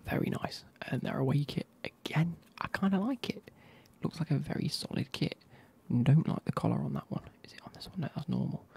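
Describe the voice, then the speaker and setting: A man, reviewing football kits in a soft, casual whisper with wry asides. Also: on the high side, expressive, brisk, gravelly, few pauses, slightly rough.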